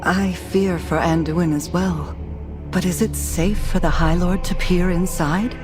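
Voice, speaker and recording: A woman playing a solemn, protective noblewoman counselor in a game cinematic, grave and measured with concern. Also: fairly low pitch, steady tone, over music, close to an everyday voice. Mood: worried. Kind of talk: video game cinematic acting.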